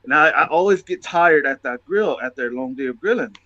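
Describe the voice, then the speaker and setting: A man's voice: fairly high pitch, expressive, fairly quick, sharp tone, dry recording, highs cut off. A man talking casually and motivationally as a show host, emphatic and testifying, repeating phrases for stress.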